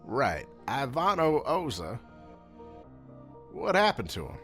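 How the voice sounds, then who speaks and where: A man plays a brash young masked hero in an audio drama, casual and sarcastic, with a lively, bouncing delivery. Faint music sits under the scene. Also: medium pitch, heavily acted. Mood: bewildered. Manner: sarcastic, casual, quick to swear. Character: cocky young male masked rider hero.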